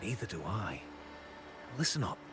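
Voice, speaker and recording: An actor playing a world-weary, dutiful gangster agent in a video game, matter-of-fact and resigned. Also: male voice, normal speed, lightly acted. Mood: brusque. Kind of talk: video game character acting. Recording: game music underneath.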